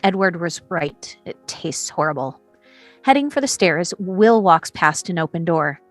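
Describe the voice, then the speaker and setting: A woman's voice: on the low side, lively intonation, a little slow, dry recording, faint music behind. A woman reads screenplay stage directions as scripted narration, setting scenes and describing action.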